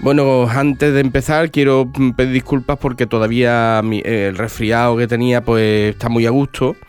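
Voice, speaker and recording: A man hosts a radio book show while fighting a cold, so his voice comes out nasal and congested. He talks in a halting way, full of fillers and restarts. Faint music runs behind him. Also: medium pitch, flat delivery. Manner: hesitant, with many fillers and restarts. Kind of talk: casual radio interview.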